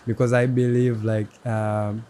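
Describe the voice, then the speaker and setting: A young man hosting a casual interview show, speaking haltingly and in a friendly way with many pauses. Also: mid-range voice, level tone, dark tone. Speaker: podcast host. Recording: faint background noise.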